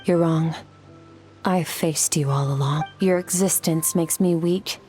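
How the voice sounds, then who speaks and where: A very low, stern warrior woman. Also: fairly even intonation, a little slow, mellow, faint music behind. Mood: cold, resolute. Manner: stern, restrained, dismissive. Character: stern, cold warrior woman hardened by loss. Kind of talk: scripted video game drama.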